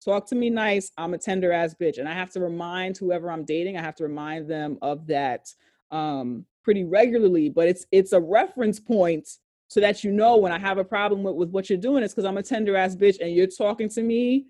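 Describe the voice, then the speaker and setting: A woman with a deep voice, co-hosting a podcast in a candid casual discussion, emphatic and profane, stretching out key phrases. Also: fairly quick, warm tone, no echo, studio quality. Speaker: podcast co-host.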